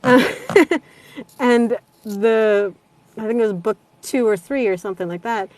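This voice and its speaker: A woman's voice: fairly high pitch, a little slow, a little mellow, dry recording. A woman audiobook narrator with a nasal voice, chatting casually on a live panel and swearing freely. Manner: chatty, swears casually, acts out quoted exchanges.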